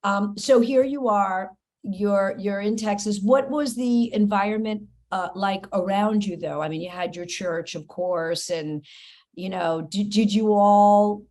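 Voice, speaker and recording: A woman's voice: mid-range voice, a little slow, a little mellow. A woman hosting an interview, asking long questions full of fillers and asides. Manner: rambling questions, frequent fillers. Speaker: interview host. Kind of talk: casual interview chat.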